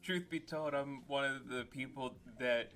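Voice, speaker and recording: A male podcast host with a bright, forward voice, blunt and jokey in casual conversation. He slips into quick acted-out bits of dialogue. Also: mid-range voice, steady tone, faint music behind. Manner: blunt, jokey, acts out little scenes. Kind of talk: casual interview chat.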